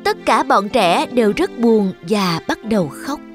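Female children's fairy tale narrator, fairly high pitch, soft music underneath. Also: expressive, unhurried. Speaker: storyteller.

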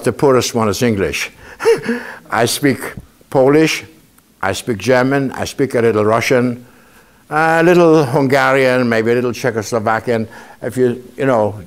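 A man in his seventies, a Holocaust survivor, giving a conversational talk to students and often checking in with them by asking "okay?". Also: mid-range voice. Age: 70s. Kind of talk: informal testimony talk to a student audience. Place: indoor museum room.

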